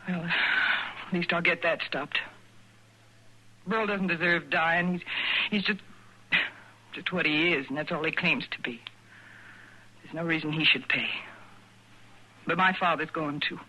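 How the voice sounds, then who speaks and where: An American actress playing a bitter, defiant young daughter in a radio western, with intense, brooding delivery. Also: female voice, low-pitched, a little slow, long pauses, sharp tone. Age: young adult. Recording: noisy old 1950s radio broadcast recording.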